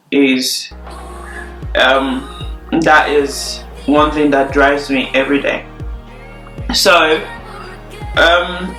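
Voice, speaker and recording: Chatty woman, bright echoey voice. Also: deep voice. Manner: chatty, relaxed, laughs easily, repeats words for emphasis. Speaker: YouTuber. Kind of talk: casual Q&A vlog. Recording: echoey room, faint music.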